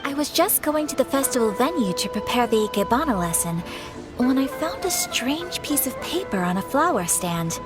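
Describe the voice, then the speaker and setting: A poised young noblewoman from a video game, speaks in a fairly high, melodic voice. She is gentle and formal, with clear music behind her. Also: big pitch swings, normal speed. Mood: composed.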